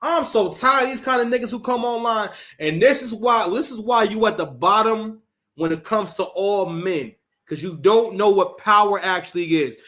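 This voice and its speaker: A man's voice: high voice, normal speed, fairly bright tone. A man hosting a reaction show, ranting in heated, profane commentary with many restarts.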